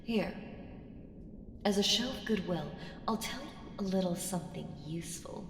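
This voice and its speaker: A woman's voice: medium pitch, expressive, moderate pace, close to an everyday voice. A woman voicing an ancient evil entity in an ASMR roleplay, seductive, sly and taunting, with ominous teasing and whispered phrases. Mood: coaxing, ominous. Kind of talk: ASMR roleplay audio drama. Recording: reverberant hall effect, faint noise.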